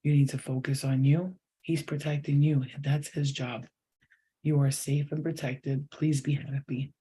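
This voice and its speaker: Guy doing a psychic reading for viewers, mellow husky voice, keeps repeating stuff for emphasis. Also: mid-range voice, flat delivery, unhurried. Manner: emphatic, repetitive, talks straight to the viewer. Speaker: psychic reader. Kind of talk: channeled tarot-style reading to viewers.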